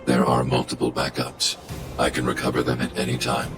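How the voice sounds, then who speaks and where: A man's voice: extremely low pitch, completely flat delivery, a little fast, over music. A man playing a huge, stoic robot guardian in a video game, speaking in formal, clipped, mechanical report phrases. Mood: flat, protective.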